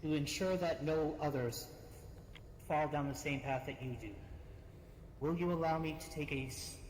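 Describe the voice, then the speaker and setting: A man playing a dutiful, earnest guild adventurer in an online roleplay, speaking formally and deliberately. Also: on the high side, darkish tone, close to an everyday voice. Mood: earnest, reassuring. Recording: echoey room, faint noise, voice chat.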